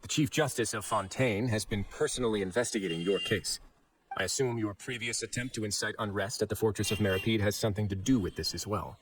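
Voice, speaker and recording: An actor playing a composed, authoritative investigator in a video game, formal and commanding, with music behind. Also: male voice, lowish voice, racing, slight background noise, close to an everyday voice. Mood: stern. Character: composed, authoritative male investigator, stern and measured.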